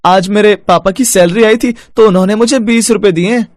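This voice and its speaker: A man's voice: high-pitched, brisk, dry recording, pitched above the performer's own voice, lightly acted. A man voicing an eager little village child in a chirpy cartoon story voice. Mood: excited.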